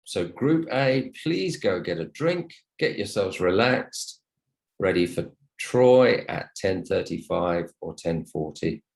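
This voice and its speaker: Low-pitched male English teacher. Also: expressive, slow, vocal fry, darkish tone, poor audio. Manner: polite, encouraging, thanks listeners often. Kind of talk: online lesson talk to students. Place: online video call session.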